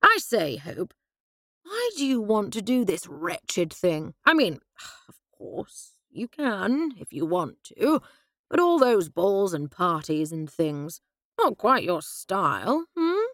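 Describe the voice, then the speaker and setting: A woman voicing a fussy, older upper-class English gentleman who blusters and hesitates in old-fashioned phrasing. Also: medium pitch, sing-song, slow, no echo, studio quality, heavily acted. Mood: flustered.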